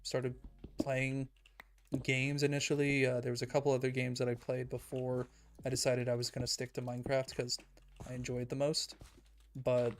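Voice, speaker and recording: A young man streaming a building game, talking calmly and evenly in a soft, soothing voice he calls monotone. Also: mid-range voice. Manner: calm, soft-spoken, self-described monotone. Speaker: Minecraft streamer. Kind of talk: casual livestream chat while building in a game.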